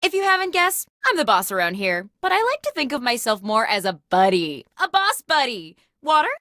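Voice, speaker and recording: A woman's voice: fairly high pitch, sing-song, brisk, bright, forceful tone, no echo, studio quality. A woman playing a chipper, insecure, scatterbrained office boss as the host of a video game, with an over-eager, theatrical delivery. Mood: chummy, bossy.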